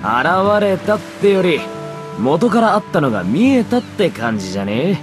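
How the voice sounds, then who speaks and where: A brash young man, an outlaw in an anime game. His slightly high voice swings widely as he swaggers through rough, sardonic lines. Light music plays underneath. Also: big pitch swings, normal speed. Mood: cocky. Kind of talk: Japanese anime game voice acting.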